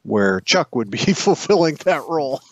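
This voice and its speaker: A man's voice: a little high, big pitch swings, moderate pace, no room sound, lo-fi sound. A man co-hosting a casual chat, dropping short, wry remarks. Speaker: co-host.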